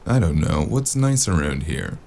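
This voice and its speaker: An actor playing a weary, sardonic noir investigator in an audio drama, gravelly and dryly deadpan. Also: male voice, deep voice, moderate pace, a little hiss or hum, full character voice. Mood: dry, focused. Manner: dry, understated deadpan.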